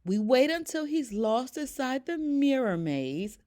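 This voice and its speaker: Storybook role: boastful, scheming male plush toy, played by a woman, excitable and theatrical, with big pitch swings. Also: unhurried. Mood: gleeful plotting.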